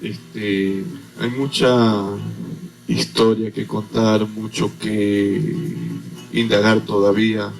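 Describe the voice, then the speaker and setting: A man from Venezuela, an agronomist explaining things as a guest in a radio interview, unhurried and full of hesitations. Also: lowish voice, little pitch movement, creaky, faint music behind, rough recording.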